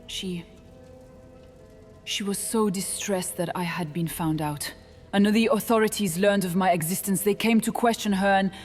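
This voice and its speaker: A woman playing a gentle, sorrowful young witch who has lived as a boy, speaking in an earnest, measured, halting way. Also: fairly low pitch, lightly acted.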